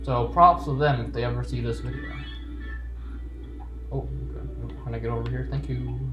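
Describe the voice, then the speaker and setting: A man's voice: medium pitch, steady tone, normal speed, muffled recording. A man giving playful, joking commentary while playing a game, reading on-screen text aloud. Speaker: gamer. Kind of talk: let's play commentary. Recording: roomy echo with game music. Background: game music.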